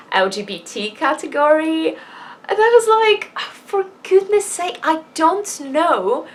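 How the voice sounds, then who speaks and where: A woman's voice: mid-range voice, fairly bright tone. A woman vlogger talks in a mid-range voice with some ambient noise around her. She is casual and candid, poking fun at herself as she rambles and drops in emphatic asides. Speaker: vlogger and fiction writer. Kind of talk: casual personal vlog chat.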